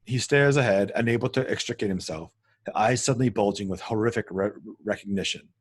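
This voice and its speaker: A man serves as narrator for a screenplay table read, delivering scene headings and action lines. His voice sits fairly low and has a noticeably husky, rough edge. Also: fairly quick. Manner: stumbles and corrects himself while reading. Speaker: narrator reading stage directions.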